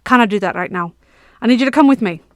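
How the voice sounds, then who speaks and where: A woman reading aloud, voicing a large stern male knight who gives gruff, sinister commands. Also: a little high, quick pace, no echo, clean studio sound, lightly acted. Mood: menacing.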